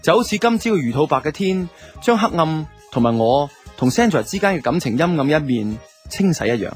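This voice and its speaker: A man's voice: a little high, big pitch swings, fairly quick. A man with a Hong Kong Cantonese accent playing a laid-back young man who narrates his love life in a reflective, colloquial way for a radio drama. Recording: music under the voice.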